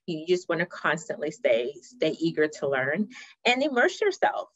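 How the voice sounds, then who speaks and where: Low-voiced woman, chatty interview guest. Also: very animated, normal speed, a bit bright, dull audio. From Ghana. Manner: chatty, frequent fillers and restarts. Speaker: marketing director, podcast guest. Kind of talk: casual interview chat.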